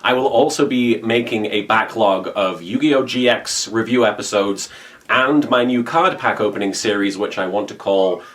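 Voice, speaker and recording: A man's voice: fairly low pitch, moderate pace, fairly bright tone, a little hiss or hum. A man talking casually as an online video creator updating viewers, with jokey asides. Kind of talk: casual vlog channel update.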